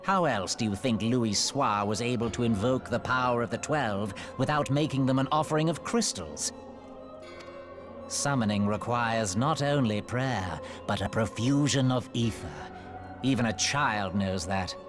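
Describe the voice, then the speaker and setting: Loud music swells behind a haughty game villain, an immortal dark sorcerer played by a man. He speaks at a stately, drawn-out pace in ornate old-fashioned phrases. Every line is theatrical and condescending, as from a schemer above lesser beings. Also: medium pitch, lightly acted. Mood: scornful, lecturing. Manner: theatrical, condescending, archaic formal diction. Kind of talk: video game voice acting.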